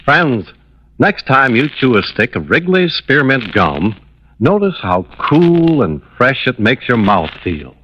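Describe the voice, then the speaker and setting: A man's voice: mid-range voice, big pitch swings, slow. A man announcing on 1950s radio, delivering sponsor copy and credits with polished, emphatic salesmanship. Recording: dull, slightly noisy old radio recording.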